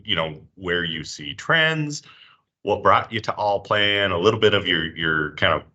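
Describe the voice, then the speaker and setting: A man's voice: deep voice, bright, forceful tone. A man hosting a casual interview, asking loose, friendly, rambling questions. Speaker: host. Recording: slightly dull lo-fi audio.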